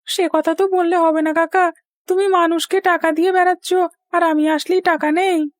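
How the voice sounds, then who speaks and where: A woman's voice: very high-pitched, level tone, moderate pace, no room sound, clean studio sound. A woman voicing a cheeky little village boy in a cartoon, insistent and complaining. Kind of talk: Bengali cartoon dialogue.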